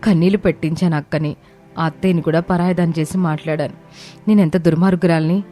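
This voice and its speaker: A woman's voice: on the low side, steady tone, fast talker, mellow, faint music behind, lightly acted. A woman voicing an emotional, exclamatory and pleading younger sister-in-law in a family story. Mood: guilty anguish.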